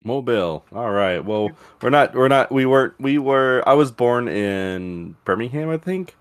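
A man's voice: medium pitch, animated, normal speed, smooth, no room sound. A man from Alabama with a Southern US accent, hosting a podcast in casual conversation. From Alabama, USA. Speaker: podcast host. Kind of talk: casual chat.

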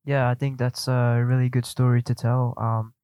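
A young man from Australia chats on a podcast in an easygoing way. His voice has a middle pitch that stays fairly even. The sound is dry and close. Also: normal speed, clean tone, dry recording. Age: young adult. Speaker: podcast co-host. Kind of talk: casual chat.

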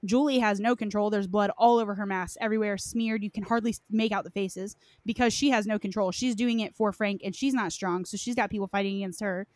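Female, mid-pitched, informal podcast-style chat, very dry recording. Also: steady tone, brisk, few pauses, a little mellow, no echo. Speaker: podcast co-discussant on game lore. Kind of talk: casual chat.

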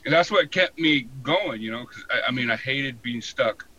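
An American man in his forties, telling his story casually in an interview with a halting, colloquial delivery full of "you know". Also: mid-range voice, expressive, bright, forceful tone, highs cut off, slight background noise. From San Diego, born in Hawaii. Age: 40s. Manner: halting, colloquial, frequent 'you know'. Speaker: podcast guest, fire protection inspector.

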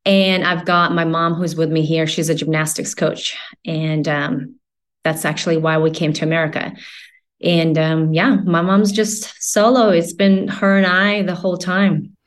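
A woman's voice: on the low side, level tone, measured pace. A woman in her forties from Latvia, a native Russian speaker, chatting as a podcast guest in a rambling way, with lots of fillers and restarts. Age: 40s. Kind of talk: casual interview chat.

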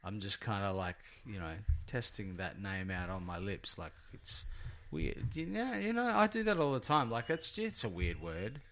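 A man with a hoarse, raspy voice hosting a casual podcast from a small home studio, rambling and mocking himself. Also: on the low side, very animated, moderate pace, few pauses, fairly bright tone. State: hoarse from singing. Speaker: podcast host.

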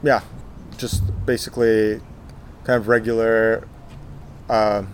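Young man in his twenties, lowish voice, halting delivery full of ums, faint background hiss. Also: little pitch movement. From Texas, USA. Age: 20s. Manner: hesitant, many fillers and restarts. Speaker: guest, Zen monk. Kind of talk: casual life-story interview.

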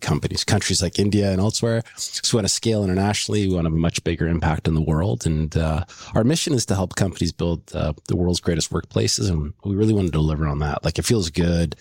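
A middle-aged man with a very low, husky voice talks eagerly on a podcast, telling stories and laying out plans. The recording is dry and close. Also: fast talker, dry recording. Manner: animated storyteller, enthusiastic pitch. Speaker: company co-founder. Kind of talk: casual podcast chat.

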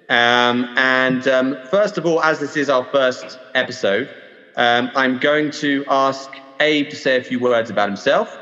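A man's voice: medium pitch, fairly bright tone. A man from the UK with a London English accent, hosting a podcast in a hesitant, filler-heavy way. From United Kingdom. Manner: hesitant, many ums and uhs. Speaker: podcast host. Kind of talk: casual podcast discussion. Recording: dull roomy remote audio.

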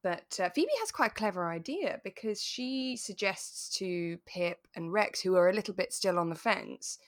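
A woman co-hosting a relaxed discussion podcast, retelling things expressively in a conversational way. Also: medium pitch, normal speed. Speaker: podcast co-host. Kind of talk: relaxed chat reviewing a radio drama.

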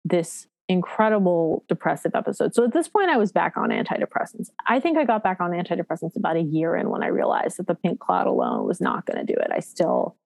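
Woman, 30s, lowish voice, dry close sound; frank self-deprecating personal storyteller, halting with lots of fillers. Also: wildly swooping pitch, gravelly, a little mellow, no room sound. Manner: reflective, halting, with many fillers and restarts.

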